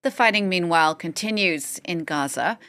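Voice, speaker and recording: A woman's voice: on the low side, lively intonation, normal speed, a bit bright, clear, resonant tone, no room sound. A woman presenting scripted news as an anchor.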